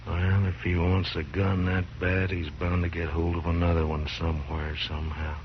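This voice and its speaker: An actor playing a calm, firm frontier marshal in a 1950s radio drama. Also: male voice, deep voice, normal speed, noisy background, natural voice. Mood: steady. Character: calm, steady frontier marshal, middle-aged man. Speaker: lawman. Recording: dull lo-fi 1950s radio broadcast.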